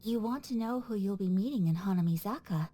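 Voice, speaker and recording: A woman playing a composed, gracious young noblewoman in a video game, speaking in a formal, measured and polite way. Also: mid-range voice, expressive, mellow, slight background noise, close to an everyday voice. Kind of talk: video game dialogue acting.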